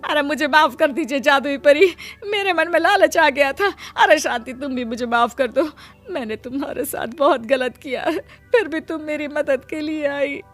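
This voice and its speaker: Woman acting shrill, greedy sister-in-law. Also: sing-song, moderate pace, sharp tone, faint music behind. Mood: pleading, remorseful. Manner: shrill, wailing, pleading. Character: greedy, envious sister-in-law, whining and scheming. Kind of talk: acted moral cartoon story.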